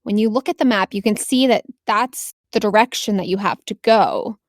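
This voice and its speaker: A woman acting as game master, narrating and bantering casually with playful, jokey drawn-out emphasis. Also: medium pitch, no echo, studio quality.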